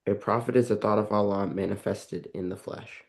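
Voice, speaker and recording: Low, gravelly man answering in class. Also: fairly even intonation, a little fast. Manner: hesitant, filler-heavy when speaking freely. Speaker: class student. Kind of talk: reciting catechism answers, then explaining.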